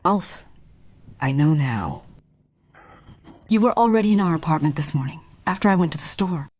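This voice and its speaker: An actress in a radio play as a distressed married woman confronting a man, husky and breathy, with halting, dramatic pauses. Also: sits low, expressive, a little mellow. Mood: accusing.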